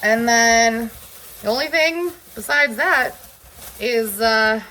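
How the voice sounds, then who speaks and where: A woman's voice: fairly high pitch, takes it slowly, sharp tone, rough recording. A woman with a Southern US accent, sniffly from allergies, chatting casually and rambling as she shows off her groceries. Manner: chatty and rambling, with loose fillers and asides. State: hot and sweaty. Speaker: vlogger showing a grocery haul. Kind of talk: casual chat.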